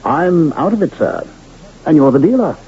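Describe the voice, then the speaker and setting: An actor playing a wry, courtly Western doctor and card player in a radio drama, polite, measured and sardonic. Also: male voice, on the high side, sing-song, dark tone, husky, heavily acted. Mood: cool, polite. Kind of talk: old-time radio drama acting. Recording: muffled, noisy old radio recording.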